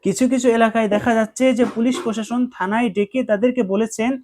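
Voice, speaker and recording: A man's voice: extremely high pitch, steady tone, fast, a little mellow. A man giving explanatory commentary to viewers, emphatic and repeating his points.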